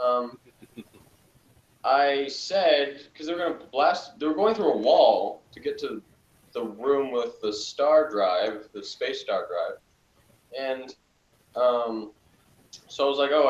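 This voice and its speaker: A man chatting casually, critical and sarcastic with quick asides. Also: medium pitch, reverberant, dull audio. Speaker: podcast co-host reviewing a TV episode. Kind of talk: casual chat.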